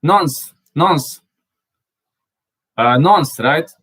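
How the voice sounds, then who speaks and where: Halting man, English his third language. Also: a little high, lively intonation, pushed and bright, husky. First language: Serbian. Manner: halting, with many fillers and restarts; laughs often, spells out words he can't pronounce. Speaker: channel host. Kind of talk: casual live chat, reading viewer comments.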